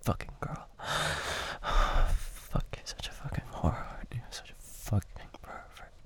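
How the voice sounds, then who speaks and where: A man doing an intimate audio roleplay, talking in soft whispers broken by low moans and sighs, close to the microphone. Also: takes it slowly.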